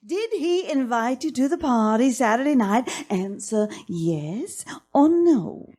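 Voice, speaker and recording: A woman's voice: fairly high pitch, very animated, takes it slowly, warm tone, exaggerated voice. A woman playing a coy, flirtatious, pushy sweetheart in a 1940s-style radio comedy. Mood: coyly insistent. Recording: roomy rehearsal recording.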